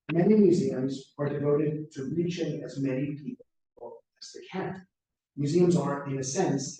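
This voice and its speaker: A middle-aged American man giving a prepared conference talk, measured and orderly, often speaking in lists. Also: mid-range voice, mellow. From United States. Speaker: plenary speaker. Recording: echoey room, voice from far off.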